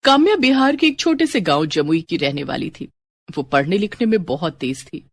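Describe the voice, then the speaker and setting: A woman narrating scripted moral stories in an expressive storytelling style. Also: on the low side, moderate pace.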